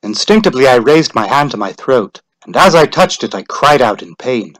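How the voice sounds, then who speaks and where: A man's voice: mid-range voice, sing-song, normal speed, fairly bright tone, rough recording. A man narrating a scripted story as a dramatic reading.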